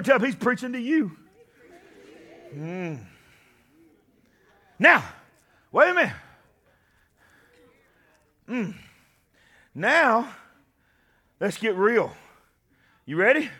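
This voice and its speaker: A man preaches, his voice pitched very high and ringing bright. He moves from conversational teaching into fervent, shouted climaxes, calling out to his congregation. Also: big pitch swings, slow, pauses often, breathy edge. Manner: impassioned preaching, shouting at peaks. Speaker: pastor. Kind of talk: sermon.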